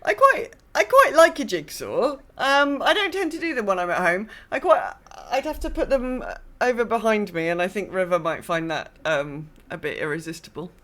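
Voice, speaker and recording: A woman speaks as a gaming podcast host. The delivery is informal and conversational, with an average pitch for a woman. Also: big pitch swings, moderate pace, few pauses, a bit bright. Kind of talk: casual chat about an online video game.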